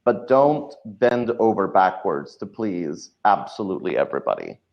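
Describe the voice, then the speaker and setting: A man's voice: deep voice, big pitch swings, normal speed, creaky. A man giving thoughtful, explanatory advice as a guest in a conversational podcast interview. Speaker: podcast guest, audio drama writer. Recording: dull lo-fi audio.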